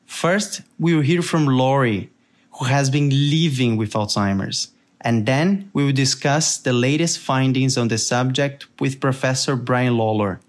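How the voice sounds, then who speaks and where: A young man with a Brazilian accent, hosting a podcast in an earnest, measured scripted narration. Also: medium pitch. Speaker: podcast host.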